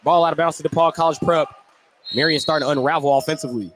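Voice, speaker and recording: An American man doing live play-by-play basketball commentary for radio, getting excited on big plays. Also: on the high side, fast. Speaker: play-by-play sports announcer. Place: indoor basketball gym. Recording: noisy live gym broadcast. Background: loud gym ambience.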